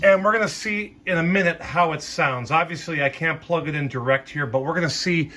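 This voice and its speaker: A man's voice: fairly high pitch, brisk. A man giving a casual hands-on equipment demo, explaining things informally and thinking aloud as he goes. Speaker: vintage audio hobbyist presenter. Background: music playing.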